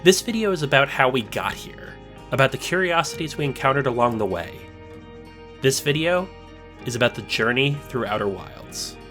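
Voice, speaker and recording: A man giving scripted, measured voice-over narration for a video essay, slowing down for emphasis, with soft guitar music behind. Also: medium pitch, animated.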